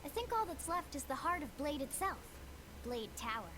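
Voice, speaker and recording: A woman's voice: high-pitched, sing-song, moderate pace, noisy background. An actress playing an eager, chatty young female recruiter in a video game cutscene, with a bubbly, pushy manner. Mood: enthusiastic explaining.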